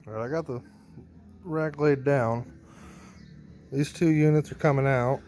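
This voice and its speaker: A man's voice: medium pitch, steady tone, measured pace, loud music behind the voice. A man narrating a hands-on gear vlog in a casual, informal way, thinking aloud as he works. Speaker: band member showing a PA rack rebuild.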